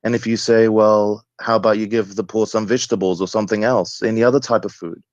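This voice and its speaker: A man's voice: lowish voice, steady tone, fast talker, darkish tone. A man in his thirties from Egypt, speaking conversationally as a caller telling his own views and experience. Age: 30s. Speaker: caller to the show. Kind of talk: conversational call-in testimony. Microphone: remote call connection. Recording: muffled, echoey call-in line.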